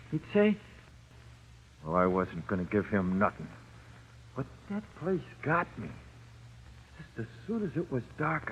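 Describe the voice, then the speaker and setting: A man's voice: fairly low pitch, lo-fi sound. An actor playing a panicky jailed gangster with slangy, uneducated tough-guy speech, in 1940s radio drama style. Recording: scratchy, thin old radio recording.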